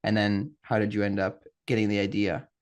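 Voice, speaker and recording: A man's voice: lowish voice, level tone, a little fast. A man hosting a podcast, reading a scripted intro and then asking upbeat, rambling interview questions. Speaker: podcast host.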